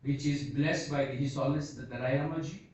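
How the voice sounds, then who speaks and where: A man whose first language is Bhoti gives an unscripted seminar talk, halting and searching for words as he speaks slowly and earnestly. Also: mid-range voice, fairly even intonation, a little mellow. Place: seminar hall. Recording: echoey hall, distant dull mic.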